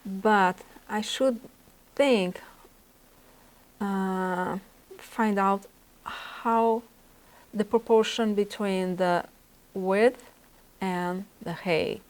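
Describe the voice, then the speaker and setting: A woman's voice: medium pitch, fairly even intonation, extremely slow, pauses often, rough recording. A woman with a Brazilian Portuguese accent, a native Portuguese speaker, teaching a portrait drawing lesson and thinking aloud as she sketches. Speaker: art instructor. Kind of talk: drawing demonstration.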